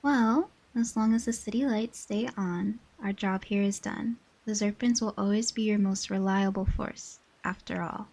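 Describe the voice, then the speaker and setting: A woman's voice: medium pitch, fairly even intonation, moderate pace, hardly any pauses, a little mellow, close to an everyday voice. A woman voicing a stern, haughty inhuman guardian in a scripted dramatic monologue, formal and imperious. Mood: grave, commanding.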